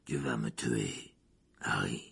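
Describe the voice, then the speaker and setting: A haggard, intense male fugitive speaks in a low, slow, whispered hiss, voiced by a man reading aloud. His tone is deep and gravelly, with a husky edge. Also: fairly bright tone, full character voice. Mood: menacing hush.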